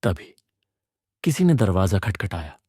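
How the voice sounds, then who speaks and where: A man narrating a scripted first-person horror story in a measured, suspenseful way. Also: deep voice, sing-song, heavy background noise.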